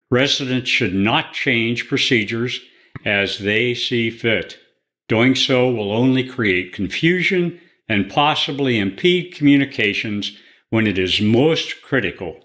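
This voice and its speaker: A man reading scripted instructional material and radio procedures in a deliberate, procedural way. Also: medium pitch, a bit bright. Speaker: training presenter and radio net controller in demonstration.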